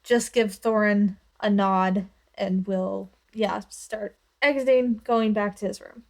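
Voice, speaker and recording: A woman's voice: medium pitch, steady tone, slow, creaky. A woman narrating her character's actions casually as a player in a tabletop roleplaying game.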